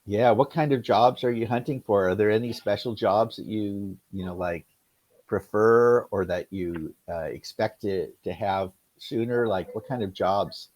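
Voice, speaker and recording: A man's voice: on the low side, unhurried, a little mellow, no echo. A man from Los Angeles with an American accent, tutoring English in casual conversation, asking long, loosely stacked questions. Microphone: video call.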